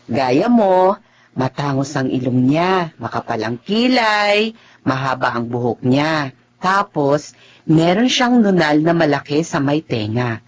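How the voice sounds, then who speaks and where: An actress in a Filipino radio drama playing a gentle, motherly woman who talks in a soothing, expressive way. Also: low voice, slow pace, exaggerated voice. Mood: warm, welcoming.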